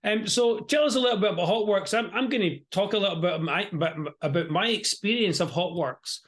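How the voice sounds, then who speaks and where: A man's voice: high-pitched, quick pace, a bit bright. A European-born man hosting a casual interview over a video call, chatty, often restarting and repeating his words. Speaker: interview host.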